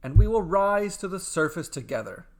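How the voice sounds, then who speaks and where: A man's voice: sits high, sing-song, moderate pace, pitched above the performer's own voice, poor audio. A man voicing a brave, carefree brother in a storybook tale, hearty, confident and declamatory. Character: brave, carefree, boisterous brother, ever-optimistic sailor. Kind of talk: dramatic storybook character acting.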